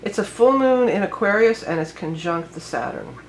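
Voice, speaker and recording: A woman's voice: mid-range voice, sing-song, moderate pace, a little hiss or hum, poor audio. A woman astrologer still getting over a head cold, giving a casual, rambling forecast full of fillers and self-corrections.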